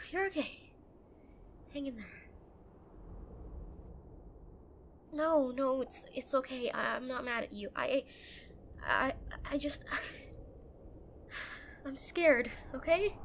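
A woman playing a brusque, protective and frightened tsundere girlfriend in a roleplay audio drama, speaking urgently and haltingly straight to the listener. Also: high-pitched, fairly bright tone, a little hiss or hum, heavily acted. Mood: shaken, tender. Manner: bossy, urgent, emotional, halting.

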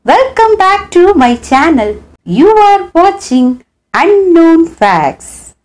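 A woman's voice: extremely high pitch, sing-song, slow, darkish tone. A woman hosting her channel, telling a story as a scripted voice-over in a dramatic storyteller's way. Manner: dramatic, swooping storyteller's delivery. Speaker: channel host and narrator.

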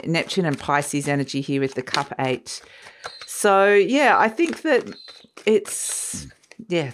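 Woman tarot reader, low and slightly croaky from a cold, musing, chatty delivery. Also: slight background noise. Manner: warm, musing, trails off and restarts, light laughs. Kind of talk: casual spoken tarot reading. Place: sunny room by a window, indoors.

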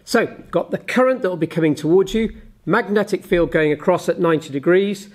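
Male teacher, forties, slightly high-pitched voice, explains a hands-on demonstration in orderly steps. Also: fairly quick. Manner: methodical, step-by-step explaining. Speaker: physics teacher. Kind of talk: explanatory lesson with live demonstration. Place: indoors, small office at a desk.

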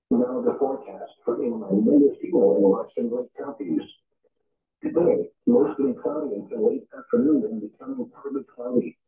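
A synthetic man's voice reads a scripted weather forecast over the radio in formulaic, clipped phrases. The pitch is fairly high and swings very widely. It sounds dull, played back from a radio speaker in an echoey room. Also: gravelly.

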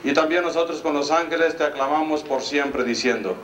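A man with a Mexican Spanish accent, a priest reciting the prayers of the mass in a solemn, measured cadence, recorded by an amateur camcorder among the congregation. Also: on the high side, reverberant, very noisy. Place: outdoor altar under a canopy.

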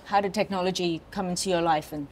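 A middle-aged woman interviewer asking her guests questions slowly and deliberately. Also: on the low side, steady tone, a little mellow, a little hiss or hum. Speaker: interviewer, magazine founder. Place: bright open office lounge with large windows.

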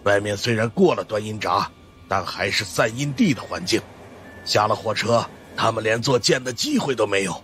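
A man's voice: fairly low pitch, normal speed, a bit bright, soft background music, natural voice. A man voicing a stern, knowing older monk consultant in a ghost-story audiobook, grave and explanatory. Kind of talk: audiobook character acting.

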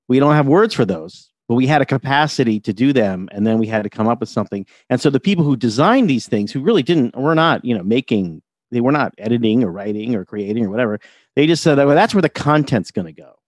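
A man's voice: medium pitch, no echo. A man, a television writer and producer, chatting as a podcast guest in a wry, talkative way, often repeating words as he starts a thought. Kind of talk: casual interview chat.

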